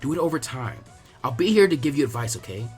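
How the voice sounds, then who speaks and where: A man's voice: mid-range voice, animated, fast, faint music behind, natural voice. Man voicing a casual, teasing teenage girl ninja. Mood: reassuring. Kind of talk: audiobook character acting.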